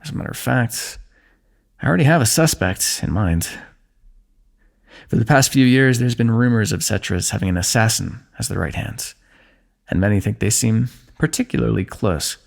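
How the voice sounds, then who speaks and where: A man voicing a kingdom's ruler who gently chides and teases a wounded companion while tending his injury, in an intimate fantasy roleplay. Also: lowish voice, moderate pace, vocal fry. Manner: gentle, chiding, teasing, close and intimate. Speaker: ASMR roleplay performer. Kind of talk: scripted fantasy ASMR roleplay.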